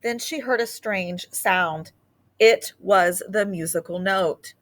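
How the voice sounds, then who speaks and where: Woman reading a children's picture book aloud. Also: medium pitch. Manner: animated, drawn-out emphasis on key words. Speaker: read-aloud storyteller.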